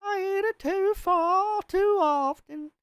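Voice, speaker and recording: A man voicing a young woman guest in a high, sing-song falsetto. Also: slow, fairly bright tone, dry recording, heavily acted.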